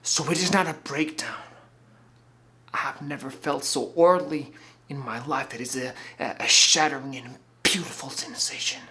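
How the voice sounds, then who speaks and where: A man playing an exalted, feverish visionary in a dramatic monologue, halting and grandiose, breathy and often close to a whisper. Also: mid-range voice, fairly even intonation, bright, forceful tone. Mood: hushed exaltation.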